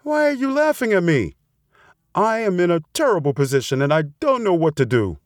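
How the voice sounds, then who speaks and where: Man voicing a high, swooping mother dove. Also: very animated, fairly quick, no room sound, clean studio sound. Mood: upset. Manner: pleading, earnest. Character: anxious, pleading mother turtle dove. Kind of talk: storybook character voice.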